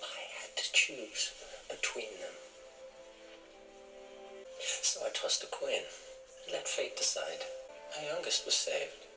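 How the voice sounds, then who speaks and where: An actor playing a bitter, grief-stricken villain driven mad by loss in a period TV drama. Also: male voice, lowish voice, sing-song, slow pace, long pauses, music in the background, full character voice. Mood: bitter, anguished. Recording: echoey TV audio with score.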